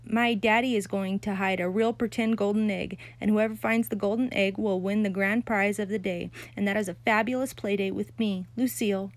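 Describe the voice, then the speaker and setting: A woman's voice: mid-range voice, steady tone, dry recording, lightly acted. A woman voicing a spoiled, showy little rich girl who gushes and drags out her words. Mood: boastful. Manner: gushing, preening, drawn-out.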